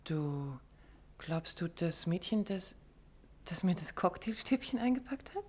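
An actress playing an alert, affectionate wife of an investigator in a 1950s German radio drama. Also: female voice, lowish voice, animated, a little slow, darkish tone, breathy edge. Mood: curious.

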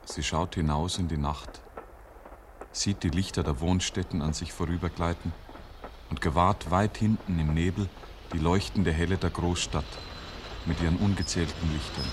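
Deep-voiced male actor as radio storyteller. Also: steady tone, normal speed, natural voice. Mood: solemn, descriptive. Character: earnest, literary male storyteller. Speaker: narrator. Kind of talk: scripted dramatic narration, 1950s radio. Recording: hissy, noisy 1950s radio recording.

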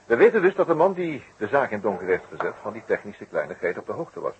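Male, courteous inquisitive police inspector, low lively voice, old muffled radio drama. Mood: pensive, firm. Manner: urbane, inquisitive, measured. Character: courteous, probing French police chief inspector. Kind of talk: 1960s Dutch radio drama acting. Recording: dull, noisy 1960s radio recording.

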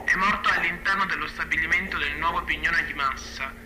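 A man giving theatrical radio narration, reading a factual report in a grave, measured way. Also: fairly high pitch, sharp tone, husky, poor audio. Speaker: actor narrating a stage ballad.

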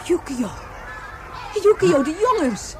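Lively, high-voiced caring woman. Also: takes it slowly, exaggerated voice. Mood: alarmed. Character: caring, expressive woman travelling with a child. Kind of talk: radio drama acting.

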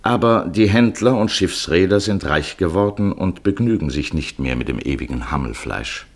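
A deep-voiced man narrates a 1950s radio travel feature. His intonation moves widely as he paints scenes in vivid, measured literary storytelling. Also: lively intonation.

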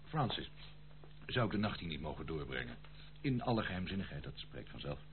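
An actor playing a charming, spendthrift prodigal son in an old Dutch radio play, pleading in a theatrical, hesitant way. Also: male voice, extremely low pitch, sing-song, brisk, exaggerated voice. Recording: muffled phone-like old radio recording, hum.

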